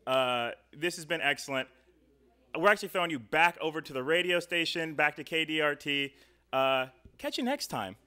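A man's voice: a little high, lively intonation, moderate pace, sharp tone, smooth. A man in his twenties hosting a live show in a casual, chatty, jokey way. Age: 20s. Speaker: host. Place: indoor studio. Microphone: handheld microphone on stand.

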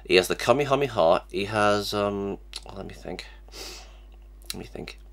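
Young male host with a cold, fairly low voice, halting and repetitive delivery, recorded at a desk webcam. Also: gravelly. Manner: stammering, many restarts and fillers. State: has a cold, sniffly. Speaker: reaction channel host. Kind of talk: casual reaction vlog. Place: small cluttered room indoors.

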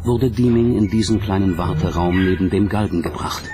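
Man, documentary narrator, lowish pitch, scripted delivery, clear background music. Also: moderate pace.

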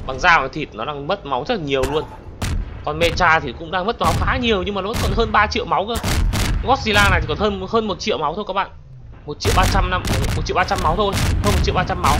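A young man with a high, bright-pitched voice commentates a monster battle in a video game. He talks excitedly and keeps addressing his viewers. Loud game sounds of explosions and gunfire run beneath his voice. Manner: excitable, exclaims often, addresses viewers constantly. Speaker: gaming channel host. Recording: game battle noise behind voice.

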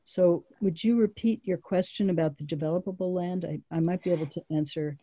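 A town planning official speaks on a video call at a public meeting. Her voice is very dark and mellow, and the sound is dry and close. She talks in a measured way, pausing often with ums and small restarts. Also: on the low side, no room sound.

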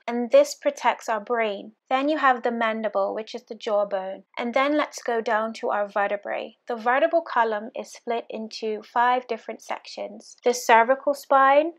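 A woman instructor narrating a scripted anatomy lesson over slides, in a clear, steady, explanatory way. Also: fairly high pitch. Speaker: fitness course instructor. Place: voice-over, not the pictured slides.

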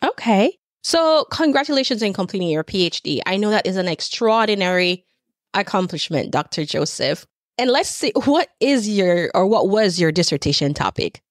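A woman's voice: medium pitch, very animated, normal speed, no echo, clean studio sound. A woman hosting a podcast interview, asking questions in an encouraging, affirming way. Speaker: podcast host.

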